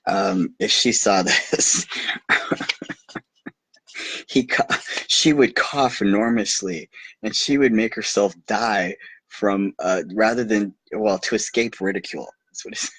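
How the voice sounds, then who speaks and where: A man with a very low, husky voice reads a bedtime story aloud. He goes slowly and haltingly, translating loosely and slipping into amused asides. The recording is close and dry. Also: a bit bright, no room sound. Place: recording himself on video.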